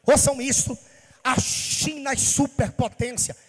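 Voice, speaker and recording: A pastor from Bahia preaching with passion, asking rhetorical questions in a sing-song cadence. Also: male voice, very high-pitched, steady tone, measured pace, slightly rough, big-room sound. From Bahia, Brazil. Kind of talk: sermon.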